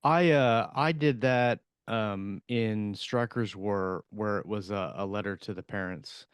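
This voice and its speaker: Low, smooth-voiced man, halting. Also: no room sound, studio quality. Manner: halting, many fillers and restarts. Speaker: podcast co-host, author. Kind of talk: casual chat.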